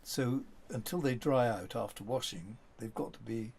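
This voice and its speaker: A man's voice: medium pitch, moderate pace, creaky, a little mellow, slightly rough. An older man reminiscing in an interview, telling rambling, anecdotal stories from his past. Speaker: documentary subject.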